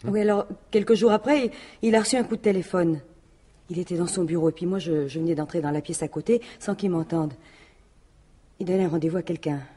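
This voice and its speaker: An actress playing a defensive, jealous French widow in a radio mystery, talking in halting, colloquial phrases. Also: female voice, lowish voice, mellow, slightly rough. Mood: confiding. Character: defensive, jealous widow, plain-spoken businesswoman. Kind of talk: 1950s-style French radio mystery acting.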